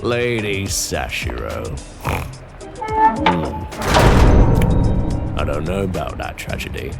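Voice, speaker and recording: A man voicing a gruff, brutish male pig-man who runs an arena, talking in coarse, mocking slang. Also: deep voice, wildly swooping pitch, slow, exaggerated voice. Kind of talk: audio drama roleplay. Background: background music.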